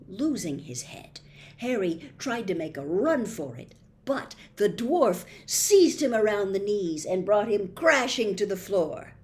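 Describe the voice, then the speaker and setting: A woman narrating a children's novel aloud, reading expressively and dropping to a hush in quiet moments. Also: mid-range voice, big pitch swings, a little slow. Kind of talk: storybook read-aloud.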